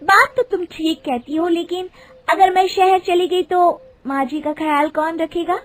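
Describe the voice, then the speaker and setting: Woman acting as young village wife, very high voice, ambitious, insistent, cartoon dialogue. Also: fairly even intonation, a little slow. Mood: hesitant. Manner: insistent, complaining. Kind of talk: acted dialogue in animated moral story.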